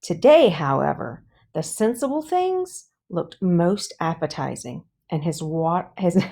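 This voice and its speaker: Slow, low-voiced woman reading a children's story. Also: sing-song, vocal fry, dark tone. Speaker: children's audiobook reader. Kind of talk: expressive read-aloud storytelling of a children's book.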